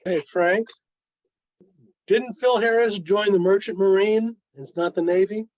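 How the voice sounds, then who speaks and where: A man's voice: high voice, measured pace, a little mellow, dry recording, poor audio. A man chatting casually as a club member on a video call.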